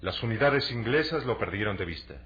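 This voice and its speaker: A man playing an earnest young adventurer in a Latin American Spanish radio drama, explaining things deliberately and persuasively. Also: on the low side, lively intonation, fairly bright tone. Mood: explanatory. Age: young adult. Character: earnest young athletic adventurer selling a treasure hunt. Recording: dull, lo-fi radio drama recording.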